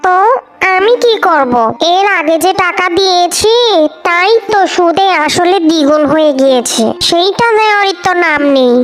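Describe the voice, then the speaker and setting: A woman's voice: extremely high pitch, normal speed. A woman voicing a stingy, curt male fox moneylender in a cartoon folktale, dismissive and grumbling. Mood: curt, annoyed. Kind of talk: cartoon folktale character acting.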